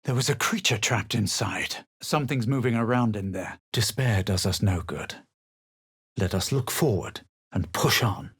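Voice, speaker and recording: Cocky aloof video game hero, lowish husky voice, measured and dramatic. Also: male voice, lively intonation, heavily acted. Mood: self-assured, musing. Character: self-assured male adventurer hero, aloof and superior. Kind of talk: video game voice lines, scripted narration and barks.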